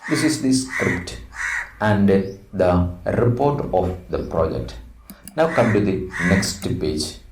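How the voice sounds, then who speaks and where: A middle-aged man with an Indian accent teaches by reading a project script aloud. His voice is on the low side and swings in pitch as he delivers short, deliberate phrases. It is recorded in a roomy space with the mic set back from him. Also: animated, darkish tone. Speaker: English teacher. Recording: roomy echo, distant mic, faint noise.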